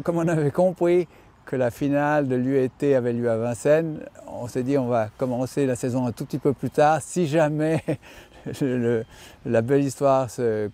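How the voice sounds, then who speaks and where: An older man who owns and breeds horses, answering interview questions with many pauses and restarts. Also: mid-range voice, warm tone. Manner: hesitant, many fillers and restarts. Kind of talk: interview reply.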